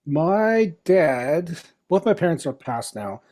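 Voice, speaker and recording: A middle-aged man from the Philippines, a guest chatting casually in an interview, relaxed and chuckling as he talks. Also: a little high, slow pace. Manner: relaxed, chuckling, trails off and restarts.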